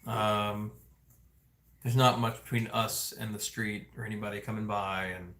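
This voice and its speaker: A man's voice: lowish voice, little pitch movement, measured pace, a bit bright. Chatty male livestream host in echoey room. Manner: chatty, joking, engaged with viewers. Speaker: family vlog host. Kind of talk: casual livestream chat. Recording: roomy indoor echo.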